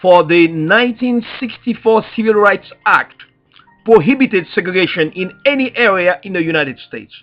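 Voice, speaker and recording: High-pitched Haitian man giving impassioned oratory. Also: expressive, moderate pace. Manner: emphatic, repetitive rhetorical phrasing with rhetorical questions. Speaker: activist speaker. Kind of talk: impassioned oratory, preacher-like public address. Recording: dull lo-fi sound, faint music behind.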